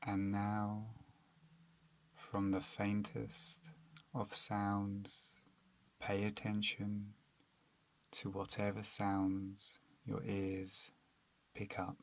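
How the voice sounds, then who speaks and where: A man narrating a guided meditation in a calm, soothing, unhurried manner, leaving long pauses between phrases. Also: lowish voice.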